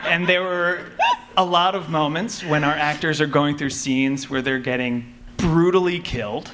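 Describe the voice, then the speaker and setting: A man's voice: on the high side, steady tone, measured pace, a bit bright. A man telling an anecdote as an audio drama maker on a live convention panel. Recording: faintly noisy convention room panel.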